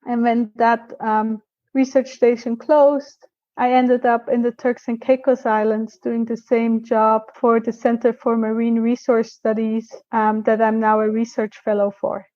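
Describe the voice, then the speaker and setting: A woman raised in Switzerland talks earnestly as a guest scientist, recounting her path with small restarts. Her voice sits fairly high but stays level and even in pitch. The sound is dull and lo-fi, like a remote call. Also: measured pace, no room sound. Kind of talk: casual interview answers.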